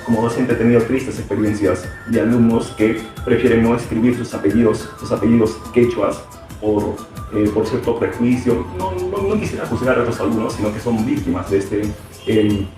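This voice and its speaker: A man's voice: mid-range voice, animated, normal speed. Hesitant man in an echoey room. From Peru. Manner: reflective, hesitant with many fillers and restarts. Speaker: teacher. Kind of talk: interview answers. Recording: very echoey room, far from mic, music behind.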